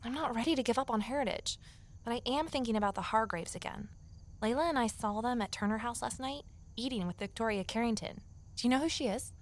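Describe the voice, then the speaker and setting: A woman's voice: a little high, quick pace, slight background noise, natural voice. A woman playing an earnest, stubborn young amateur sleuth in an audio drama, narrating in a natural, conversational, wry way. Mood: determined. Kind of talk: first-person audio drama narration and dialogue.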